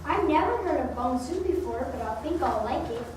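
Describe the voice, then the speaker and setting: A woman's voice: high voice, expressive, a little fast. A woman playing suspicious, stingy monster townsfolk in amateur reader's theater. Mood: pleased. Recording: very echoey room, distant mic, faint noise.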